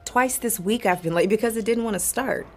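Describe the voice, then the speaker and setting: A woman's voice: a little high, brisk, faint music behind, close to an everyday voice. A woman acting an easygoing young student actress, casual and self-deprecating, in an audio drama.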